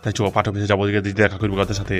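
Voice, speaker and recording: Man voicing a cartoon gangster dad, rapid-fire, flat delivery, coarse, swearing, hot-tempered. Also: lowish voice, soft background music, lightly acted. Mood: vengeful, aggrieved. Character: hot-tempered foul-mouthed adult gangster boss and harried father. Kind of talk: amateur comic cartoon dubbing.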